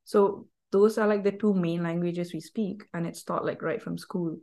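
A woman from Malaysia, speaking, chatting as a podcast guest in a lively, rambling way full of "like". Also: fairly low pitch, normal speed. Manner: chatty and animated, heavy use of "like". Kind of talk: casual conversational chat.

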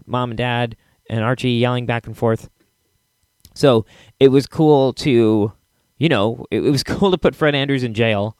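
An American man hosting a podcast, chatting casually to listeners in an enthusiastic, rambling, halting way, close to the microphone. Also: medium pitch, no echo. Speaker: podcast host.

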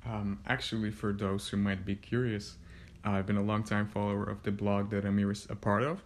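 A man hosting a podcast interview, speaking in a halting, formal way. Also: fairly low pitch, flat delivery, a little hiss or hum. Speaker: podcast host.

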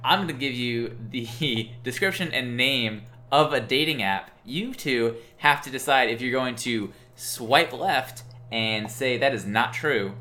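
Man, podcast host, casual, playful, explains game rules, bright voice. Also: mid-range voice, a little slow, few pauses. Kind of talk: casual chat.

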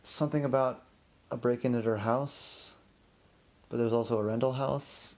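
Gravelly, mellow man telling a casual story. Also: mid-range voice, steady tone, moderate pace. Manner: rambling, conversational, acts out characters' remarks in an exasperated way. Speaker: storyteller, host of a story podcast. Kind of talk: improvised spoken storytelling, casual.

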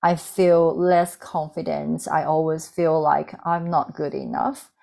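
A woman's voice: sits low, flat delivery, takes it slowly, vocal fry, a little mellow. A woman in her thirties from China, a native Mandarin speaker with a Mandarin Chinese accent, talking earnestly and candidly as an interview guest, with frequent ums and restarts. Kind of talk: reflective personal storytelling.

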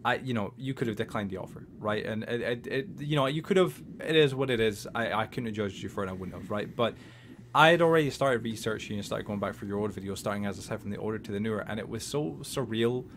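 A bubbly, energetic man in his twenties with a softened Scottish accent hosts a casual chat. He talks fast and trips over his own restarts. A faint hiss sits under his voice. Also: on the low side. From Scotland. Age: 20s. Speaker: podcast host.

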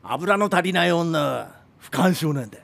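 An actor playing a domineering, drunken film director in his seventies, gruff and commanding, in a radio drama. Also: a little high, animated, normal speed, fairly bright tone, heavily acted. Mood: boozy, needling. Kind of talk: modern radio drama acting.

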